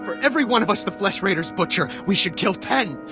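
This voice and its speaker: An actor playing a grief-stricken, bitter husband who demands revenge in a video game, impassioned and accusing. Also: male voice, high voice, lively intonation, quick pace. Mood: furious grief. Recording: loud music behind.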